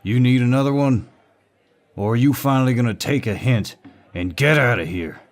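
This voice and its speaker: A man playing a protective, dominant Southern gentleman in an audio roleplay, speaking politely but firmly with a Southern US drawl. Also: mid-range voice, moderate pace, a little hiss or hum, close to an everyday voice. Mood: heated, menacing. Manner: polite, drawling, firm, old-fashioned courtesy. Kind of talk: roleplay audio acting, intimate and scripted.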